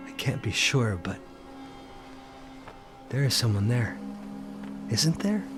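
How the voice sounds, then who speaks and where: An actor playing a haunted, curious solitary man in an audio drama, halting and gravelly, as if thinking aloud. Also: mid-range voice, natural voice. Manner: hesitant, halting, murmuring to himself. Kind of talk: first-person dramatic narration with in-scene dialogue.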